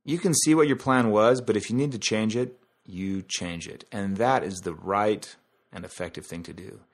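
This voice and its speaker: A man's voice: lowish voice, normal speed. A man hosting a podcast in a casual, conversational monologue, explaining things through analogies and asides. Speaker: podcast host.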